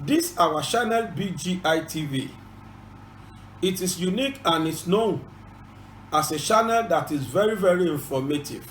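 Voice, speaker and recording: High-voiced Yoruba man, echoey room. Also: lively intonation, moderate pace, fairly bright tone, a little hiss or hum. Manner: earnest, repetitive, formal instructions. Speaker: channel host and presenter. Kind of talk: informative bilingual presentation.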